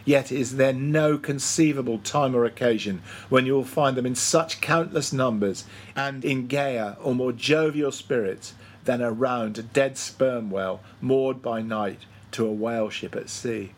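A man's voice: mid-range voice, measured pace. A man reading literary prose aloud as an audiobook narrator, in a scripted storytelling style. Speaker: audiobook reader.

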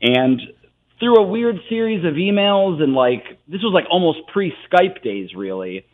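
A man in his thirties with an American accent, giving animated, earnest answers as a theater guest in a conversational interview. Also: high voice, measured pace. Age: 30s. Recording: tinny phone-like sound.

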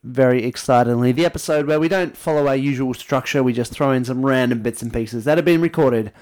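A man's voice: mid-range voice, brisk, darkish tone, no echo. A man with an Australian accent co-hosting a podcast, chatting in casual banter with an unforced, conversational voice. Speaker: podcast co-host.

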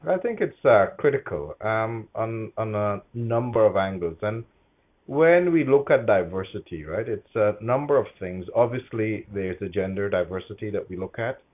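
A middle-aged man from Guyana with a low-pitched voice gives professional interview answers. He is measured and often pauses to find his phrasing. The sound is slightly dull and band-limited. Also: animated. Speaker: interview guest, corporate HR executive.

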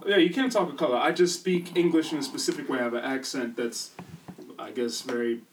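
A young man with a Midwestern accent, chatting casually and joking as a podcast co-host, often trailing off into quieter asides. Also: medium pitch, fairly quick, distant mic, slight background noise, low-quality recording. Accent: Midwestern American. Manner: laid-back, joking, drops to quiet asides. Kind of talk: casual chat.

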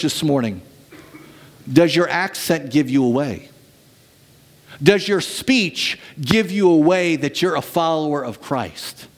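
A man preaching a sermon to his church as pastor, telling stories dramatically and swinging from lively to hushed. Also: fairly high pitch.

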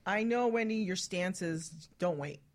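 A woman's voice: on the low side, unhurried. A woman hosting a radio talk show in a casual, chatty style.

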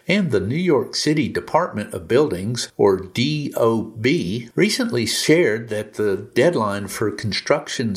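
A man presenting a scripted industry news update on a podcast. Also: on the low side.

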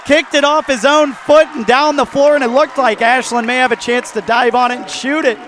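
A man's voice: very high-pitched, steady tone, moderate pace, fairly bright tone, a faint crowd, slight background noise. An American man working as a play-by-play basketball announcer, his voice strained from heavy use. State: losing his voice. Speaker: play-by-play sports announcer and host. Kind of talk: excited basketball commentary.

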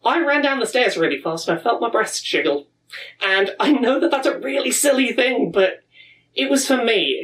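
A woman's voice: fairly high pitch, distant mic, poor audio. A woman in her thirties giving an earnest, reflective personal talk at a pride event. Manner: earnest, warm, with reflective pauses and restarts.

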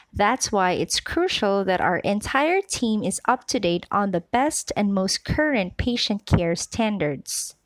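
A woman voice-over artist reading a corporate training script in a clear, measured, earnest way, close to the mic. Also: mid-range voice, no echo.

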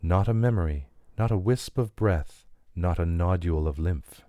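A man voicing an inhuman company representative, a menacing and gracious bargainer who speaks in slow, insinuating sales patter in an audio story. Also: sits low, no room sound, highs cut off, close to an everyday voice. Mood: smooth, coaxing. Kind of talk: dramatic audio fiction reading.

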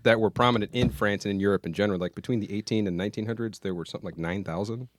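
Low-voiced dude cracking jokes quick, dry close mic. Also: male voice, no echo. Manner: quick, joking asides. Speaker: podcast co-host. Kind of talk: casual banter.

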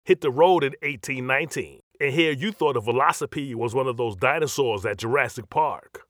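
A man's voice: mid-range voice, fairly quick, fairly bright tone, dry recording, clean studio sound, close to an everyday voice. An actor playing a brash, cocky, streetwise New York guy who narrates a comedic scripted voiceover with sassy attitude. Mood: cocky, joking.

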